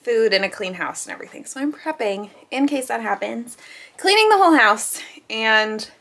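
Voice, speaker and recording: A woman's voice: a little high, expressive, normal speed, fairly bright tone. A young woman vlogging at home, talking to a handheld camera in a chatty, caring way. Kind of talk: casual vlog chat. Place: rooms of a home. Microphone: handheld camera at arm's length.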